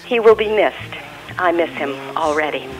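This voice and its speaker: A man with a distinctly high voice delivers a prepared tribute. Faint music plays underneath. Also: animated, moderate pace, rough recording.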